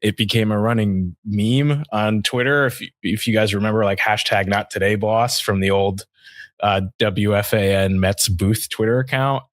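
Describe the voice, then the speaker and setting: Low-voiced man talking sports casually. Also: fairly even intonation, normal speed, dry recording. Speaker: sports podcast co-host.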